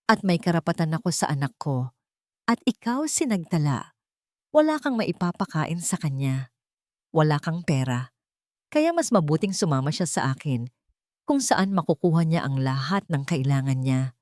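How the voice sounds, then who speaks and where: An arrogant Filipino ex-husband played by a woman storyteller, cold and menacing. He gives hard, sneering commands in a narrated drama. The voice is lowish for a woman and recorded very dry. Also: animated, normal speed, pauses often, no room sound.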